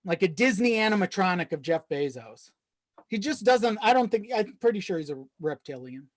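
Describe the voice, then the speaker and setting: A man's voice: high-pitched, very animated, normal speed, no room sound. A man chatting casually as a podcast guest, joking in a wry, hesitant way. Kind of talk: casual comedic chat.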